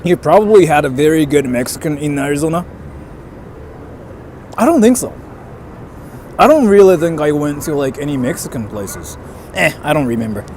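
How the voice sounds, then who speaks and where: A man's voice: fairly high pitch, big pitch swings, normal speed, pauses often, a little mellow. A young man chatting casually on a live stream as he walks outdoors, rambling and reading out viewer comments, with street sounds behind him. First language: bilingual English and Japanese. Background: faint street and traffic noise.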